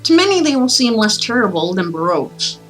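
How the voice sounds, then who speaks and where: A woman reading as a condemned, haunted narrator of a gothic horror tale, solemn, dramatic and deliberate. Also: on the high side, sing-song, a bit bright, rough recording. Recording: music playing behind the voice.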